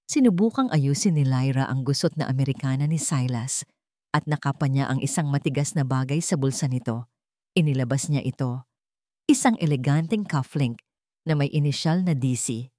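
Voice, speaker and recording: A woman's voice: very deep voice, dark tone, no room sound, clean studio sound. A woman narrating a scripted dramatic story as an audio storyteller.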